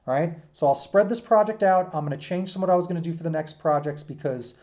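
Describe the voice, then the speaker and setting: A man lectures informally, as a teacher would to a class. His voice is mellow, without any hard edge. He rambles through his points and keeps stopping to check that listeners follow. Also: fairly high pitch, quick pace. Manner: rambling, frequent check-ins like "okay?" and "right?". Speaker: teacher giving an assignment. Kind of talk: informal lecture to students, off the cuff.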